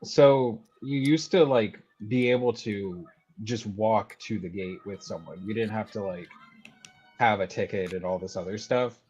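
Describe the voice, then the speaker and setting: A man's voice: fairly low pitch, a little slow, darkish tone, slightly rough, lo-fi sound, soft background music. A man chatting casually in an informal, rambling, sweary way. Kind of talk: casual chat.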